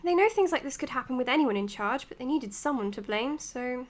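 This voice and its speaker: A woman's voice: high voice, lively intonation, brisk. A woman acting in an audio drama as an earnest, matter-of-fact psychologist giving her case notes. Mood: tired, matter-of-fact. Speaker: doctor. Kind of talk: audio drama, recorded clinical log.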